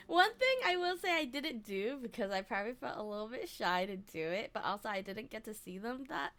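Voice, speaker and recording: A woman's voice: on the high side, animated, normal speed, a bit bright. A young woman streamer with a slightly scratchy throat, chatting casually and giggling with her live chat. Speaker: VTuber streamer. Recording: music playing behind.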